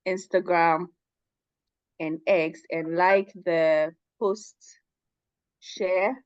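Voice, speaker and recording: Woman, low-ish voice, unhurried host, dry dull online call audio. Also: a little mellow, no room sound. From Ghana. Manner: slow, measured announcing. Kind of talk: hosting an online professional seminar.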